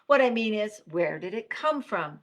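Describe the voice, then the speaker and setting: A woman's voice: medium pitch, lively intonation, normal speed, pitched above the performer's own voice, lightly acted. Woman voicing a fussy, bossy storybook duck. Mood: huffy. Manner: bossy scolding with prim wording. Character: fussy, possessive, boastful cartoonish duck. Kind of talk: children's picture book read-aloud.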